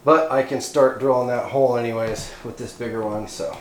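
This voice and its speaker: In a roomy, echoing workspace with clear noise behind him, a man talks through a hands-on job. He has a mid-pitched voice and an informal, folksy delivery, as if thinking out loud while he works. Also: steady tone, fairly quick. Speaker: DIY electronics video host. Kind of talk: casual hands-on workshop narration. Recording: roomy echo, clear background noise, clicks.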